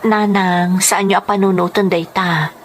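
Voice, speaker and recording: A woman's voice: mid-range voice, steady tone, a little slow, a little hiss or hum, close to an everyday voice. A woman with an Ilocano accent playing a hopeful, concerned young daughter, speaking earnestly in a radio drama.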